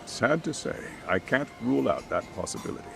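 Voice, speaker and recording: An actor playing a cautious, burdened middle-aged school chairman in a video game dub, speaking in a measured, polite and hesitant way. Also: mid-range voice, very animated, vocal fry, music in the background. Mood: grave, measured.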